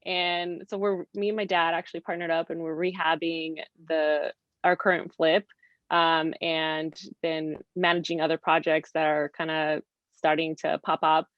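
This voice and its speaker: Female interview guest from Mexico and California, lowish voice, informal storyteller, muffled recording. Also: little pitch movement, normal speed. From Mexico, later California. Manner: chatty, informal storytelling with quoted asides. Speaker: interview guest, real estate investor. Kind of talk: casual video interview chat. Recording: roomy, dull lo-fi call audio.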